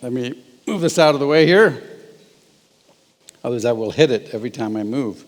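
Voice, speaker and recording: A man's voice: medium pitch, expressive, fairly quick. A man in his sixties giving a humorous talk as a guest speaker, telling stories with self-deprecating asides. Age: 60s. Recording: long reverb of a large hall.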